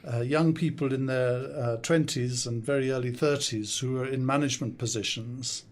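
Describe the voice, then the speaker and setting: An older man with an English accent talks as a podcast guest in a studio. His pitch sits in an ordinary middle range. He answers thoughtfully and pauses often as he gathers his thoughts. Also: steady tone, a little hiss or hum. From South Africa, raised in the UK. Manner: thoughtful, measured, with frequent hesitations. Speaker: guest, consultant and coach. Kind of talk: podcast interview.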